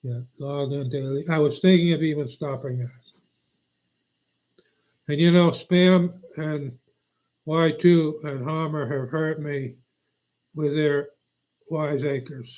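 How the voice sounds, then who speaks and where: A man's voice: on the high side, fairly even intonation, slow pace, pauses often. Rambling older man, lo-fi stream. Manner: meandering, forgetful, aggrieved. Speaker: livestreamer. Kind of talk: rambling live stream chat. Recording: dull lo-fi audio.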